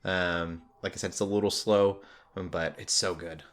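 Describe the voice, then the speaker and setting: A man's voice: deep voice, fairly even intonation, normal speed. A man hosting a casual pop culture podcast, chatting and recommending things enthusiastically. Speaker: podcast host.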